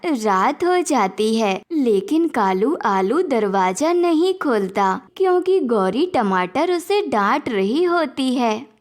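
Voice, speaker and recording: A woman's voice: fairly high pitch, big pitch swings, slow pace, hardly any pauses. A woman reading scripted narration for a cartoon moral story as the storyteller.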